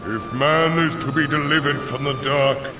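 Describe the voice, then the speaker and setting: A man's voice: mid-range voice, steady tone, normal speed, natural voice. A man voicing a solemn, stern ancient god in a fantasy video game, speaking in grave, archaic pronouncements. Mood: stern warning. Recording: distant, echoing voice over loud music.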